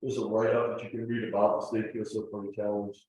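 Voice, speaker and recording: A man talks through his slides at a club meeting, explaining patiently and pausing on fillers. His voice is dark and mellow. He is picked up from across a very echoey room. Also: fairly low pitch, flat delivery. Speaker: club presenter. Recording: distant, roomy meeting-room recording.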